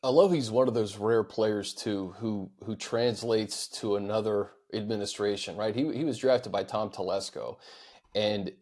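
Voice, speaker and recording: A man's voice: on the low side, normal speed, a little mellow. A man hosting a sports talk show, speaking casually and conversationally as he thinks aloud in loose phrases. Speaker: sports show host reading fan questions.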